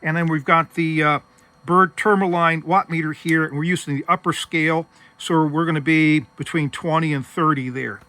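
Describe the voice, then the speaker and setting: A man's voice: a little high, fairly even intonation, normal speed, pushed and bright. A man hosting a casual, hands-on technical demonstration, explaining things methodically step by step. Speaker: host demonstrating vintage radio gear.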